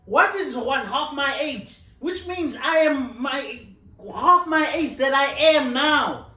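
A man in his thirties digs in on his argument, slow and deliberate, his pitch swinging widely as he repeats himself. He sits on a living-room sofa, and the camera mic picks up an echoey room. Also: lively intonation, sharp tone. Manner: insistent, repeats himself, laughs a lot. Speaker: co-host of a couple's channel. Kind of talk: casual argument on a couch. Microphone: far camera.

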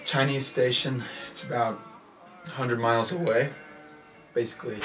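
A man acts out an easygoing veteran astronaut in a naturalistic film monologue. His medium-pitched voice is wry and conversational, full of wisecracks and coaxing reassurance. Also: fairly even intonation, normal speed, fairly bright tone, faint music behind, natural voice. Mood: wry, casual.

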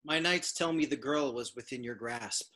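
A man voicing a menacing masked warlord in a script read, cold, slow and commanding. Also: medium pitch, pushed and bright. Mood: cold menace. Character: menacing masked male dark-side warlord, cold and imperious. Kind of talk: fan table read of a film script.

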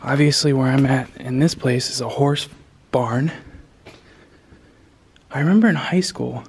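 A middle-aged man filming himself exploring an abandoned farm at night, talking in a hushed, nervous way to his camera. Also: medium pitch, measured pace, slightly rough. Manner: hushed, jittery, often dropping to a whisper. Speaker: urban explorer vlogger. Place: abandoned barn and farmyard at night, outdoors and indoors. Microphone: handheld camera.

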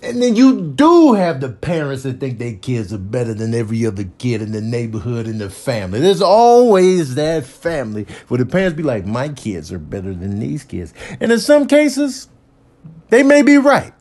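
A man's voice: medium pitch, sing-song, moderate pace, a little mellow. A man giving casual, slangy comedic commentary as a parent, telling stories with mock indignation.

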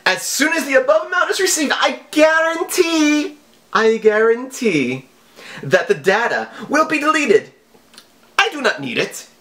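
Man doing a high-pitched melodramatic hacker villain. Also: very animated, sharp tone, full character voice. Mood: dramatic, insistent. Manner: overwrought, exclamatory, drawn-out words. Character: melodramatic, excitable male scam-email hacker making threats.

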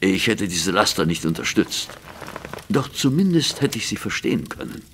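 A man's voice: on the low side, sing-song, moderate pace, a bit bright, slight background noise. An actor playing a grave, proper, practical businessman past middle age in a German audio drama, speaking formally and earnestly. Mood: earnest, explaining. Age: middle-aged.

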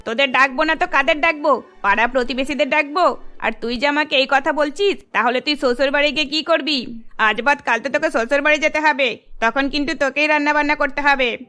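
A high, bright voice for a nagging middle-aged cartoon mother. She scolds and complains in an exasperated way about her idle daughters. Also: fairly quick, faint music behind. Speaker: voice actor. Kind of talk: cartoon dubbing.

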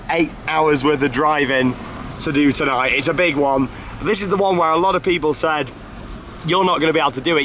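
A young man talks to the camera from the driver's seat, upbeat and chatty, his voice sitting a little high. Road and engine noise hum clearly under his words. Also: a bit bright. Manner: chatty, upbeat, many fillers. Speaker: vlog host. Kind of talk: casual travel vlog narration. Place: inside a car on the road. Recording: car and road noise behind.

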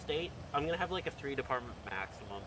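A man's voice: mid-range voice, lively intonation, fast talker. Young male streamer, loose and profane, voice-chat banter, faint hiss behind. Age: young adult. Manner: casual, swears often, laughs easily. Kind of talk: casual gaming chat over voice comms.

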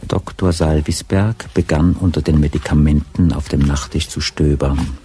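An actor narrating a crime radio drama as an unhurried storyteller, measured and deliberate in his literary delivery. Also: very deep voice, steady tone, dark tone.

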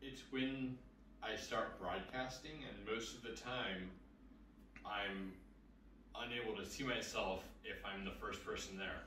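A man's voice: lowish voice, fairly even intonation, measured pace, pushed and bright. A man in his thirties chatting casually from across an apartment living room, off-mic. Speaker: vlogger's companion, chiming in. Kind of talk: casual chat. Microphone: distant camera across the room. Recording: roomy, off-mic, clear background noise.

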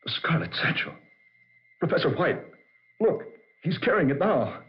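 High-voiced, frightened, guilty man. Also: very animated, unhurried, soft background music. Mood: fearful, defensive. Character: guilty, terrified man, frantic and pleading. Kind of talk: 1940s radio horror acting. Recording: muffled vintage 1940s radio.

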